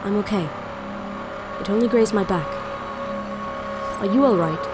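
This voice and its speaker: A young woman playing a proud, defiant, sharp-tongued princess in an audio drama, blunt and sarcastic. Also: mid-range voice, a little slow, loud music behind the voice, lightly acted. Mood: determined.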